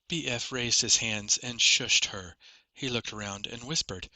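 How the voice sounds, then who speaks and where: A man's voice: mid-range voice, measured pace, bright, forceful tone, dry recording. A man reading a first-person fantasy story as a scripted audiobook narration.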